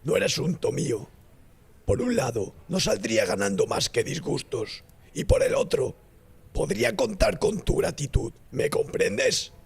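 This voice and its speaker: Man putting on a high-pitched, boastful young student. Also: huge pitch swings, a little slow, creaky, husky, full character voice. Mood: cocky. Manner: jactancioso, swaggering, exclamatory. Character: boastful, tipsy young male medical student, brash and cynical. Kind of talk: audiobook character acting.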